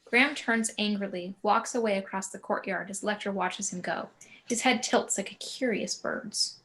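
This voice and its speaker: A woman narrator with a medium-pitched voice reads scripted scene directions. Her delivery is measured and descriptive. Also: fairly bright tone. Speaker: narrator reading action description. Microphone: video call.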